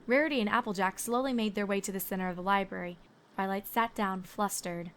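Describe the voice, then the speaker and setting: A woman's voice: medium pitch, steady tone, fairly quick, a little hiss or hum. A woman reading scripted story narration as the narrator.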